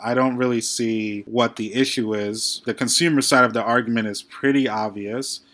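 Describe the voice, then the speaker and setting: A man's voice: medium pitch, steady tone, moderate pace, a bit bright. A young man from Brooklyn co-hosting a podcast, upbeat as he reads a prepared outline. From Brooklyn, New York. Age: young adult. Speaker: podcast co-host. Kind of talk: scripted podcast introduction.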